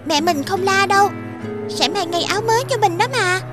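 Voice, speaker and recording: Kind little cartoon girl, very high and sweet, music behind. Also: moderate pace. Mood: caring. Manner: sweet, polite, generous. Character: kind, cheerful young girl.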